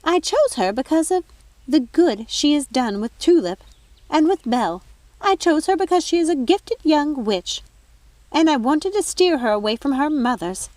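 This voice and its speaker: A woman's voice: sits high, darkish tone, dry recording, voice pushed up. A woman voicing a haughty, indignant fairy godmother in a storybook, with an emphatic, scolding manner.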